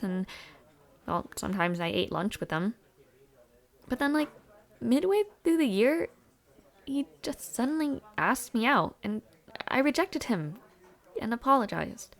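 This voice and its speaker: A woman acting as a young female university student in an intimate audio roleplay. She talks casually, like a friend sharing gossip with a dormmate, with little hesitations and trailing thoughts. Her pitch sits in an ordinary middle range for a woman. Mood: recounting, hurt. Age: young adult.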